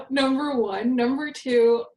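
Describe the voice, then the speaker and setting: A woman and network host from Southern California, telling stories in a self-deprecating, animated way with a somewhat nasal voice. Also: high voice, extremely slow. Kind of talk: casual conversation. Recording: roomy echo.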